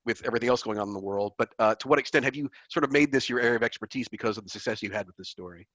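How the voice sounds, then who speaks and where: A man moderates a panel over a video call and puts his questions quickly, sometimes repeating words. The room sound is dry and the audio is dull. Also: mid-range voice, a bit bright, no echo.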